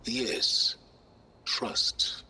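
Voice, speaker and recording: A middle-aged man playing a condescending, secretive researcher in a game, pompous, deliberate and theatrical. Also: sharp tone, full character voice. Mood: smooth, smug. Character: smug, condescending male researcher, secretive and self-important.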